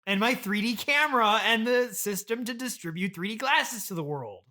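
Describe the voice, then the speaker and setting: A man's voice: high voice, animated, normal speed, pushed and bright. A man playing a spoiled, demanding fifteen-year-old son of a billionaire in a comedy podcast, insistent and bratty. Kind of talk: improvised comedy podcast interview.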